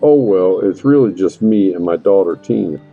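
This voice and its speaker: A man's voice: low voice, animated, moderate pace, phone-quality sound, faint music behind. A man playing a folksy, grumbling farmer and father in a fantasy audio drama.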